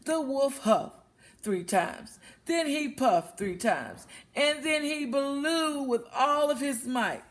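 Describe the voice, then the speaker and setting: A woman's voice: sits high, lively intonation, takes it slowly. A woman reading a children's story aloud expressively, as a storyteller for young listeners. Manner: explains words with questions to young listeners.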